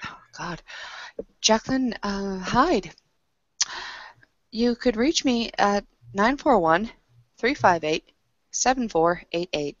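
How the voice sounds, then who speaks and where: Lady player doing casual rpg roleplay, average pitch, dry slightly muffled recording. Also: lively intonation, slow pace, long pauses, dry recording. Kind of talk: casual in-character roleplay and game chat.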